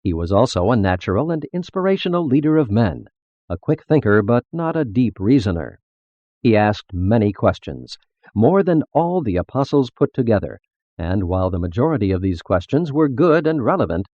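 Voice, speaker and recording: A man reading a religious text as scripted narration, in a measured, formal, expository manner. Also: medium pitch, big pitch swings, no room sound, lo-fi sound.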